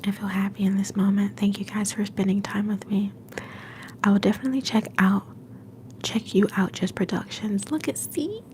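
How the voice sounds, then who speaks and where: A young woman hosting a livestream from home, whispering softly and affectionately to her viewers. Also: medium pitch, fairly even intonation, moderate pace, slight background noise. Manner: soft whisper, affectionate and encouraging. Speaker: livestream host.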